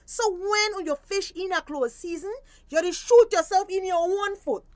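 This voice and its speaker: Firm woman fisheries officer, Belizean Creole, high voice, dry close sound, admonishing but fair. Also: moderate pace, no echo. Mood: stern lecturing. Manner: stern, admonishing, lecturing. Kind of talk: radio drama acting.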